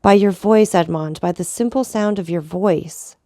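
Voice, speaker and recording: A woman's voice: lowish voice, normal speed, dark tone, no room sound, studio quality, close to an everyday voice. A woman reading an audiobook voices a noblewoman in despair, pleading anguished for her son's life.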